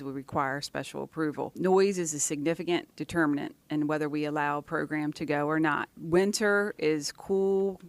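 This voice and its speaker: A woman with a smooth, clean voice gives explanatory answers in an interview. She is matter-of-fact and keeps her phrases short, and the sound is close and dry. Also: low voice, no room sound. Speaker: environmental scientist, interviewee.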